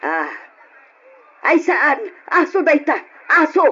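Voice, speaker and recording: An actress playing a confused, forgetful elderly mother in an old radio drama, childlike and stubborn, with a husky, halting voice. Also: sits high, very animated, bright, forceful tone, heavily acted. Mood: excited, stubborn. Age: older. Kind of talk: old-time radio drama acting in Ilocano. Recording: dull lo-fi radio drama recording.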